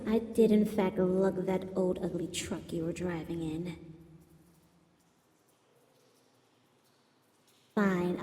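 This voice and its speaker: Slow, purring villainous spider robot woman, voiced by an actress. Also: mid-range voice, mellow. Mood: sly, threatening. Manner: slow, purring, haughty and taunting. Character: villainous female spider robot, seductive, menacing captor. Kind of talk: ASMR roleplay acting. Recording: echoey hall-like reverb.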